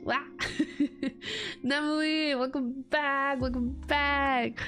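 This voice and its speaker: A woman's voice: high voice, level tone, very slow, a bit bright, soft background music. A young woman streaming casual chat while getting over a cold, her voice stuffy and congested, rambling and laughing often. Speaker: VTuber streamer.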